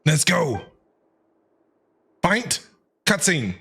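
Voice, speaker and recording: A man's voice: on the high side, big pitch swings, very slow, creaky, bright, forceful tone, a little hiss or hum. A man doing casual, enthusiastic live game commentary as a streamer, repeating his exclamations. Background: game music.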